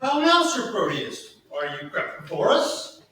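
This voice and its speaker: Very high-voiced man playing a pompous suitor. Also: very animated, takes it slowly, bright, forceful tone, heavily acted. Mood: pompous, suspicious. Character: Thurio, foolish pompous gentleman suitor. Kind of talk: staged Shakespeare reading by amateur campers. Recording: very echoey room, distant mic, faint noise.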